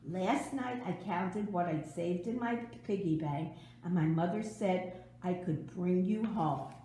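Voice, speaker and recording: A woman's voice: on the low side, measured pace, heavy reverb, rough recording. A woman voicing an eager, sweet and polite little girl in a storybook. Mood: happy, eager. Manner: bright-eyed, polite, excited child.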